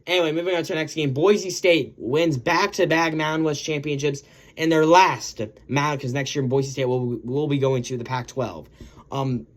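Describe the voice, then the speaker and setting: A man hosting a casual sports recap video, his voice a little hoarse from yelling, rambling and correcting himself as he talks. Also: a little high, fairly even intonation, normal speed. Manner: rambling, self-correcting, lots of "guys" and "um".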